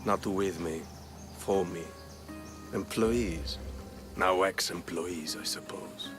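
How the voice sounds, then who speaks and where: Wealthy villain, lowish voice, measured, smug, calculating, video game, music underneath. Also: male voice. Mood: amused menace. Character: smooth, calculating wealthy male schemer, coolly menacing.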